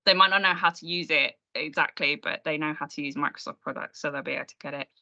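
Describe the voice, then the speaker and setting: A woman's voice: low-pitched, fast, vocal fry, bright, forceful tone. A woman chatting informally and self-deprecatingly as a speaker at an online meetup, over a video call. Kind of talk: informal Q&A chat about design software. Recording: dull, compressed online call.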